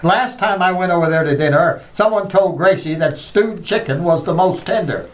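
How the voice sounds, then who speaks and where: A man's voice: high-pitched, normal speed, lightly acted. A man playing a grumbling, sarcastic husband with dry delivery in old-time radio comedy style.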